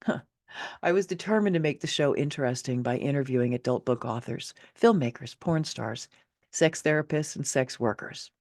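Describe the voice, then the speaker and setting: A woman's voice: very deep voice, normal speed, darkish tone, no echo, clean studio sound. A woman reading her own personal story as its author, in a candid, wry, conversational way with casual asides. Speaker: author and narrator. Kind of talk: expressive personal memoir reading.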